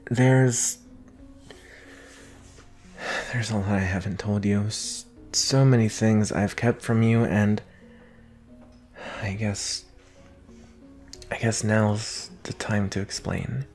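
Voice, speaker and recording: A young man playing an anxious, protective vampire boyfriend in an intimate roleplay audio drama, speaking in an earnest, halting way with sighs. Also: lowish voice, a little mellow. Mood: apologetic, nervous. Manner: halting, sighing, earnest delivery.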